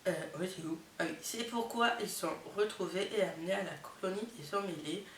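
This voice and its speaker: A woman's voice: medium pitch, brisk. Stuffy-nosed young woman chatting in a roomy space. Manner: chatty, lots of fillers and amused asides. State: has a head cold, nasal. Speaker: vlogger. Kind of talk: casual chatty vlog, reading out summaries with reactions. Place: indoors, not her bedroom. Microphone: camera mic. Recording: roomy, distant camera mic with faint hiss.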